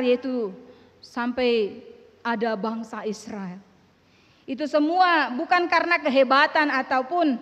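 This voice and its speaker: A woman's voice: sits high, a bit bright. A woman preaching a sermon, emphatic and asking the congregation rhetorical questions. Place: church. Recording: reverberant church hall.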